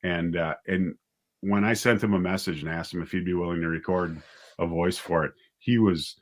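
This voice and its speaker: A man with a lowish voice speaks as a guest in a casual interview. He is a director who tells stories conversationally, often acting out bits of what was said. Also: steady tone, moderate pace. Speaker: guest, audio drama writer and director.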